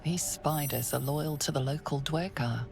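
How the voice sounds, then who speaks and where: A woman narrating a fantasy video game in a measured, ominous storytelling manner. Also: deep voice, gravelly, music in the background. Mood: cautioning. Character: composed, ominous female narrator of a fantasy quest.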